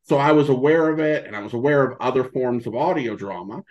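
A middle-aged man, a podcast guest, answering in a casual, halting way and often rephrasing himself. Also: medium pitch, animated, a little mellow, highs cut off. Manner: halting, hesitant, frequently rephrasing. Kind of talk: casual interview answers, reminiscing.

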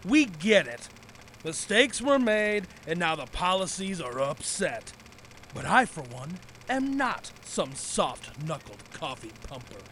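An actor in an audio drama playing a pompous, belligerent public safety commissioner, bombastic and declamatory. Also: male voice, a little high, big pitch swings, takes it slowly, fairly bright tone, a little hiss or hum, full character voice. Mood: blustering, defiant. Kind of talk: audio drama acting, satirical comedy.

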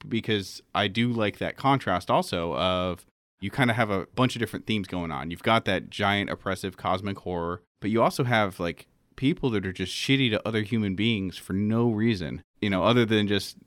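A man's voice: lowish voice, normal speed, a bit bright, no room sound, clean studio sound. A man chatting casually on a panel, candid and informal, with loose, sweary phrasing. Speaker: podcast cast member and panelist. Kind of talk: casual chat.

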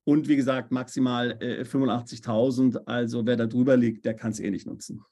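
Gravelly, husky German-speaking man explaining. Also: mid-range voice, fairly quick. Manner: steady explainer, tag questions, many fillers. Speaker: tax advisor. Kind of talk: expert podcast interview answers. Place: based in Italy.

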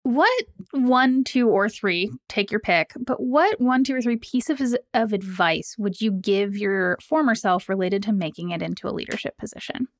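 A woman's voice: medium pitch, expressive, moderate pace, few pauses, dry recording, studio quality. A woman of thirty hosting an interview, asking questions and affirming the guest with short, engaged replies. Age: 30s. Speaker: interviewer, podcast host.

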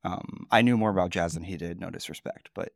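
A man in his thirties chats casually on a podcast, rambling a little with plenty of fillers. His voice sits low and sounds groggy from the early morning. The sound is dry and close. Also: a little fast, vocal fry, dry recording. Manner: relaxed, rambling, chatty with fillers. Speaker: podcast co-host. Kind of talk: casual chat.

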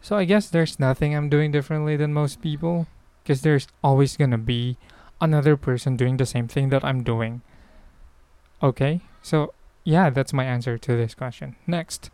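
A man's voice: mid-range voice, steady tone, a little slow, dark tone, clear, resonant tone, no room sound. A man with a Filipino accent hosting a casual solo podcast, answering questions in a hesitant, rambling way as he thinks aloud. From Philippines. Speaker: podcast host.

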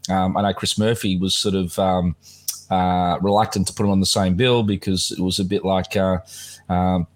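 A man with a somewhat low, slightly croaky voice hosts a relaxed music chat. He rambles along with plenty of fillers and restarts. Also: normal speed. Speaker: podcast host.

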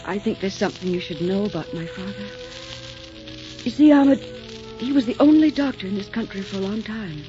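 Romantic radio heroine, played by a woman: proud young woman, mid-pitched, emotional, muffled vintage recording with music. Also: animated, brisk, natural voice. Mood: tender, loving. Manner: melodramatic, emotional. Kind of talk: 1940s radio acting.

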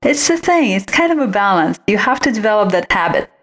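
A woman's voice: medium pitch, very animated, fairly quick, fairly bright tone. A woman speaking as an expert guest in an interview, explaining advice in a conversational way. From originally from outside the United States. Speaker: guest, visual branding expert.

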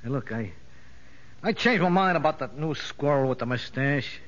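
An actor playing a gruff but big-hearted Italian gangster convict in rough slangy talk, in a 1950s radio drama. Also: male voice, medium pitch, lively intonation, normal speed, fairly bright tone, close to an everyday voice. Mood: gruffly affectionate. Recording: dull vintage 1950s radio recording.

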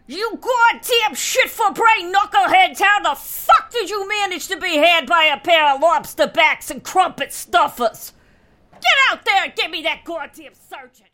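A woman's voice: extremely high pitch, bright, forceful tone, exaggerated voice. A woman playing a brash, foul-mouthed middle-aged American office boss in an audio drama comedy, blunt and emphatic. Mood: furious. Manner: blunt, emphatic, drawn-out delivery.

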